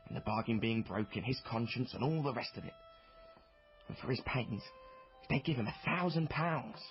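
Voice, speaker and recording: A man's voice: on the low side, lightly acted. An actor playing a plain-spoken lad who narrates his own adventure in a period radio drama, colloquial and confiding. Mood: wry. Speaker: narrator and lead character. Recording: dull, noisy radio drama recording.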